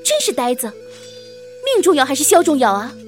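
A woman's voice: high voice, big pitch swings. A woman playing a bold, playful young female assassin who teases coquettishly in a Chinese period audio drama. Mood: teasing. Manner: coquettish, teasing, drawn-out. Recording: background music under the voice.

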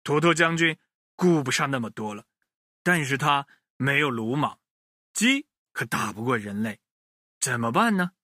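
A man telling a children's story in an expressive way, speaking directly to his young listeners. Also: medium pitch, normal speed, no echo, studio quality.

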